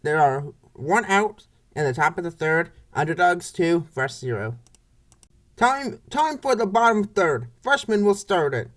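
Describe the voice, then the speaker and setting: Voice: medium pitch, very animated, a little slow, fairly bright tone. A baseball play-by-play announcer reading scripted commentary for a comic dub in short, clipped phrases.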